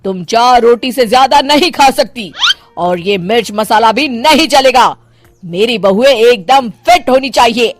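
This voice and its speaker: A high, commanding older mother-in-law from a cartoon moral tale, acted by a woman. She is a strict retired policewoman who runs home like a parade ground, drawing out her orders in a dry, close recording. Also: expressive, no room sound, faint music behind. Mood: stern. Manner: barking drawn-out commands, strict. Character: stern retired policewoman mother-in-law, drill-sergeant bossy. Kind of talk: animated moral story voice acting.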